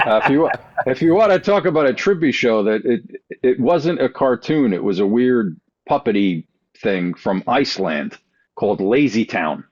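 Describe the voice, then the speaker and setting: Man, lowish pitch, casual podcast talk, wry, rambling, dry close muffled audio. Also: animated, normal speed, a little mellow, no echo. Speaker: podcast panelist.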